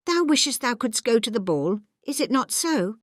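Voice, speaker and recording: A British woman voicing a brisk, kindly fairy godmother in a storybook audiobook, with archaic, coaxing commands. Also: fairly high pitch, big pitch swings, no echo, clean studio sound, pitched above the performer's own voice. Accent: British English.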